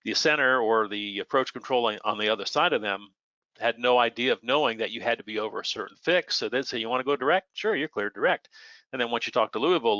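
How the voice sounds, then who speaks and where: A man's voice: mid-range voice, sharp tone, no echo, muffled recording. An American man, an air traffic controller, answering questions in a plainspoken, conversational explaining style, sometimes acting out short example exchanges. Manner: plainspoken, illustrates points with imagined radio exchanges.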